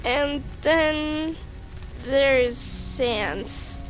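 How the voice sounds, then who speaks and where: A woman voicing a nervous, geeky female monster scientist in a comic dub, with a halting, stammering delivery. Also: sits high, soft background music, rough recording. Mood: anxious, explaining.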